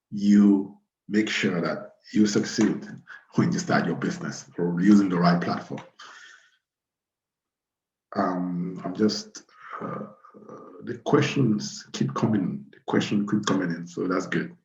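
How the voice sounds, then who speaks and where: Low-voiced man in a roomy space. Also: darkish tone. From Cameroon. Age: 30s. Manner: halting, repeats phrases. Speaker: webinar host. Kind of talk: informal live webinar talk. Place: small plain room indoors. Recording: roomy, dull webcam audio.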